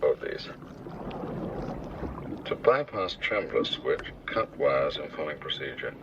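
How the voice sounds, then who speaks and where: A muffled, distant film recording of a grave man with an extremely deep, gravelly voice. He is clipped and concentrated, working methodically through technical steps. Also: sing-song, slow pace, a bit bright, full character voice. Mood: tense, focused. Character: grave, methodical man defusing an explosive device. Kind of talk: film dialogue, terse technical procedure. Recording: distant, muffled film clip with faint noise.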